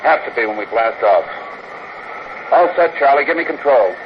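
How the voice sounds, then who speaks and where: An actor playing a tough, steady spaceship pilot with gruff care and clipped, slangy American talk in a 1950s radio drama. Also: male voice, medium pitch, normal speed, lightly acted. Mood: brisk, commanding. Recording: noisy, muffled old radio recording.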